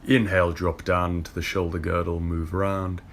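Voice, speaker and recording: A young man leading a yoga warm-up flow, calmly cueing breath and movement, recorded from a camera across a car park. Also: low voice, normal speed, slight background noise. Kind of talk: guided workout instruction. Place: indoor car park with brick wall. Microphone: far camera.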